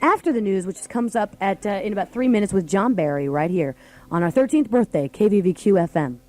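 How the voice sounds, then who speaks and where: A woman with an American accent hosts a live morning radio show. Her voice sits at a middle pitch, and she talks in a casual, chatty way, informal and unscripted. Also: expressive, brisk, a little mellow. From United States. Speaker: radio host. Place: radio station studio. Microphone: studio broadcast microphone.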